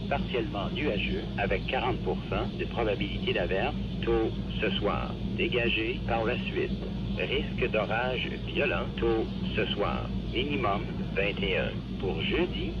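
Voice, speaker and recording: Male weather radio announcer, low-pitched and formal, clipped scripted forecast, very noisy reception. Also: muffled recording. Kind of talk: scripted weather bulletin reading. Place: radio broadcast played through a portable receiver.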